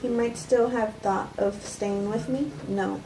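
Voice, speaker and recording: A woman reads as a self-justifying woman who calmly confesses to poisoning. Her delivery is halting, like a cold script read. She sounds distant in an echoey room, with clear noise behind her. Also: mid-range voice. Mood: matter-of-fact, bitter. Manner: halting, stumbling amateur reading. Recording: echoey room, distant mic, noisy background.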